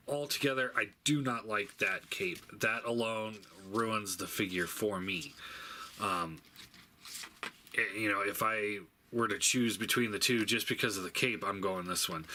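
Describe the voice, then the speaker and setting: Low, very bright-voiced man reviewing casually. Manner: hesitant, halting, thinking aloud. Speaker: toy reviewer. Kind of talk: casual unscripted product review. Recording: faint background noise.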